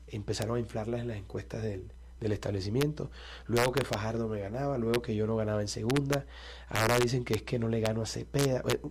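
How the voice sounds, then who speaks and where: A man's voice: medium pitch, slight background noise. A man with a Colombian Caribbean coast accent, a presidential candidate answering interview questions in a confident, combative and emphatic way.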